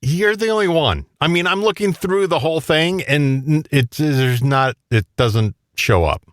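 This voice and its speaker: A man's voice: on the high side, lively intonation, a little slow, no room sound, studio quality. A man co-hosting a radio show, bantering casually as he reads out countdown lists.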